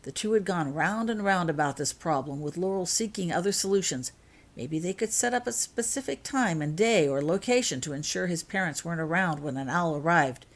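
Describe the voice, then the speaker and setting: Female storybook narrator, lower-pitched voice, author reading her own fan fiction. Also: lively intonation, brisk.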